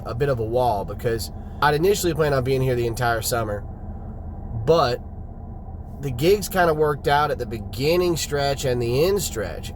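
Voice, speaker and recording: A laid-back Southern man talks through his plans like a video diary, rambling a little and restarting phrases as he goes. His voice sits in a middle pitch, and there is a faint hum of traffic and outdoor noise behind him. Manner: easygoing, rambling with small restarts. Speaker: traveling musician.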